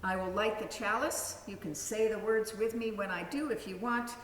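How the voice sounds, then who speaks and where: A woman's voice: mid-range voice, steady tone, normal speed. Woman minister, echoey meeting hall. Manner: conversational, with an occasional uh and um. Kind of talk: welcoming and introducing a worship service. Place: large meeting house. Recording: echoey hall, from a distance, faint noise.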